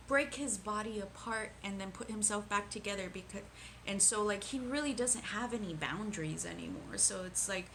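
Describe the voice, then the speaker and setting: A woman's voice: medium pitch, fairly bright tone, slight background noise, rough recording. A woman co-hosting a casual movie review podcast, chatting in a hesitant way with frequent restarts. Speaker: podcast co-host.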